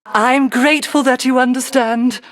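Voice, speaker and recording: A woman's voice: high voice, steady tone, normal speed, lightly acted. An actress voicing a doting, playful witchy enchantress in a video game, with courtly, lilting, old-fashioned delivery. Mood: warm, coy. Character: doting witchy island enchantress, gracious, playful, secretive hostess. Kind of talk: theatrical video game character acting.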